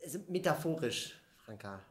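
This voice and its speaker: A man's voice: on the high side, very animated, normal speed. A man playing a hesitant, admiring amateur jogger in an improvised comedy radio play, rambling and often starting over. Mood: hesitant, confiding. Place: dark curtained theatre stage. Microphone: stand microphone. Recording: roomy stage sound.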